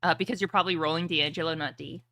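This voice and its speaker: A woman's voice: deep voice, fairly even intonation, rapid-fire, pushed and bright, no room sound. A woman speaking casually as game master, explaining rules and narrating a tabletop roleplaying game.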